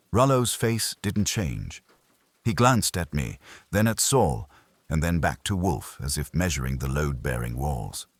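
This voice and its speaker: A man narrating a scripted first-person detective story in a wry, deliberate manner. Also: rumbling low, slightly rough, no echo.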